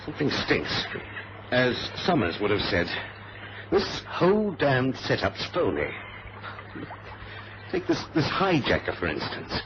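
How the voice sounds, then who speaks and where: A suspicious, determined airline man in a vintage radio adventure. His delivery is emphatic and swings widely in pitch as he thinks aloud in halting bursts. The recording is muffled, with plenty of noise over it. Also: wildly swooping pitch. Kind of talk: old radio adventure drama acting.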